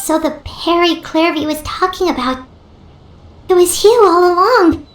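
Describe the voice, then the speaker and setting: A woman voicing a tiny, childlike fairy companion in a video game, plucky, curious and blunt, with a squeaky voice. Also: measured pace, echoey room, slight background noise. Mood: curious, probing. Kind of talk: cartoonish video game character acting.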